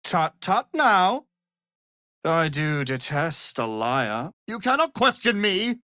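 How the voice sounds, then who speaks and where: Casting: scheming video game wizard, condescending and theatrical, unhurried delivery, very dry close sound. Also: male voice, a little high, sing-song, bright, forceful tone, no echo, heavily acted. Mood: indignant, threatening. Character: haughty, scheming adult male wizard, vain and menacing. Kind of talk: video game fantasy acting.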